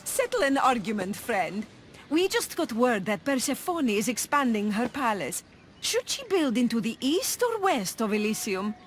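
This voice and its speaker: An opinionated, chatty devotee from a game. Her voice is high, with somewhat lively intonation. Music is clear behind her. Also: normal speed. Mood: chatty, assertive. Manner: sociable, assertive. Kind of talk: video game cutscene acting.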